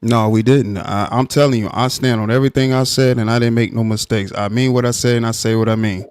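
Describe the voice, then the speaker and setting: An insistent man hosting a live online panel, pressing hard on a heated point. His voice sits at an ordinary middle pitch, and the sound is dry and close with little room echo. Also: fairly even intonation, quick pace, a little mellow, clean tone. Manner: firm, insistent, repeats himself. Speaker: live stream host. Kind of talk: heated live stream argument.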